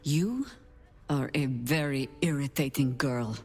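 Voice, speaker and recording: A woman's voice: extremely low pitch, takes it slowly, darkish tone, long reverb tail, soft background music. A woman playing a proud, weary old warrior mother in a video game, terse, grave and dry. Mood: grave, restrained. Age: older. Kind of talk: video game dramatic acting.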